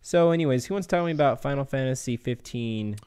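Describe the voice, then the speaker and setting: A man's voice: mid-range voice, fast, a little mellow, clean tone. A man hosting a group podcast in a casual, chatty way, steering the conversation along. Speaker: podcast host moderating the discussion. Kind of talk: casual banter.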